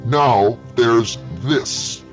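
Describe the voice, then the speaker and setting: Hot-tempered male artist, bitter and exasperated, loud, voiced by a man; storybook. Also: medium pitch, drawn-out words. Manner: shouting, exasperated. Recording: dull lo-fi with faint music.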